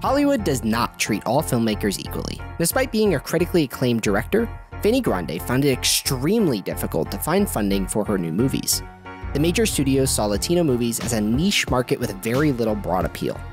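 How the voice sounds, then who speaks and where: A man's voice: mid-range voice, a little fast. A man reading a scripted show introduction in an upbeat promo manner, with music under him. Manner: upbeat, lively promo read. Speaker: show narrator. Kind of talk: scripted narration. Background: soft music.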